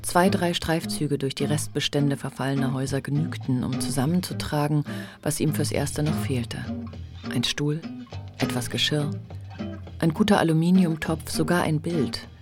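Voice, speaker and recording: A woman reading literary narration for a German radio drama in a measured, deliberate way. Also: sits low. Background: music.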